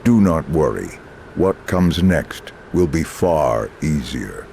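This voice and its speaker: An alien mind in a man's body for a game. The voice is extremely deep, with faint noise behind it. He speaks formally and stiffly, with cool detachment. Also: sing-song, takes it slowly, gravelly, mellow, full character voice. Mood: calm, detached. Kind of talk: cinematic game voice acting.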